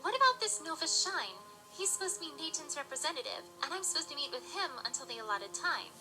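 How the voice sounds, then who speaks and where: A woman's voice: high-pitched, sing-song, quick pace. A woman playing an earnest, inquisitive young pony princess in an audio drama, polite and bookish, with music behind her. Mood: polite, flustered. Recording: music behind, played in reaction video.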